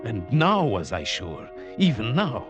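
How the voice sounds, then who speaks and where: An actor playing a grave, fearful hunter who narrates a perilous tale in formal, measured 1940s radio drama style. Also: male voice, mid-range voice, wildly swooping pitch, dull audio. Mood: brooding suspense. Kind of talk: 1940s-50s radio drama acting, first-person narration. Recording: old radio drama recording with music.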